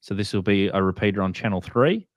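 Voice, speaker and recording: Male host, 30s, Australian, low-pitched, casually instructive, recorded close and dry. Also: quick pace, no echo. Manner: informal, instructive. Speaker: host of a ham radio show. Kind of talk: casual explainer on a livestream. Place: small indoor room, video call.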